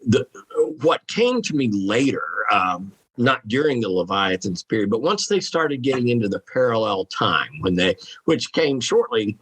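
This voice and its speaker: Husky-voiced man musing on a podcast. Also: on the low side, very animated, fairly bright tone. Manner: musing, halting, thinking aloud. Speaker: guest author. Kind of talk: conversational podcast discussion.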